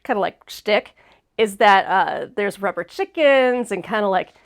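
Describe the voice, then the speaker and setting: Woman host, sniffly from allergies, chatty, upbeat, easy laugh, middle pitch. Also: lively intonation, unhurried. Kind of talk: casual livestream chat.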